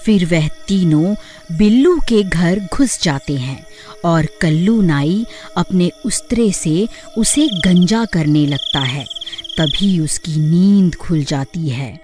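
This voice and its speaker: Slow, low-pitched woman storyteller. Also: animated, music in the background. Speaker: narrator. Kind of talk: scripted Hindi horror-story narration.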